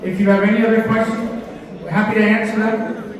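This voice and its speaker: High-voiced man pitching in an echoey hall. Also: flat delivery, fairly quick. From Sri Lanka. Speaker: event company representative. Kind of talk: conference floor pitch. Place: large conference hall. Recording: echoey hall, distant mic, crowd noise. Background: crowd chatter.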